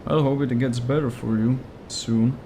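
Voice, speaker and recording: A man with a Czech accent plays a game live and chats with viewers. His voice is dark and mellow, and his English is halting as he searches for words in real time. He talks casually throughout. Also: mid-range voice, steady tone, a little hiss or hum, poor audio. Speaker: game streamer. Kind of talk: casual live gameplay stream, answering chat.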